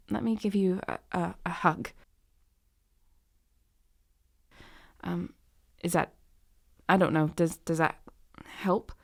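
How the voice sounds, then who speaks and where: A woman playing a snarky but soft-hearted young tutor in an ASMR romance roleplay, halting and sweary, with sighs and a tendency to drop into whispers. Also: fairly low pitch, creaky, no room sound, clean studio sound, full character voice. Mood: awkward, tender. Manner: halting, awkward, sweary, often sighing and dropping to whispers. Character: harsh, snarky young female tutor turned flustered, guilty comforter.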